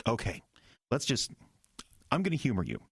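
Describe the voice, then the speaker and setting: An actor playing an earnest small-town pastor in an audio drama, halting, agitated and incredulous. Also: male voice, medium pitch, wildly swooping pitch, creaky, husky, dry recording, clean studio sound, full character voice. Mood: wary, questioning.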